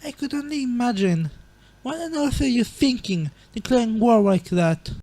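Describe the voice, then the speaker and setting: Mellow, motherly monster queen, acted by a woman. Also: on the high side, expressive, moderate pace, no echo. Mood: gently chiding. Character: gentle, proper motherly monster queen, remorseful. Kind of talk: dramatic fan comic dub acting.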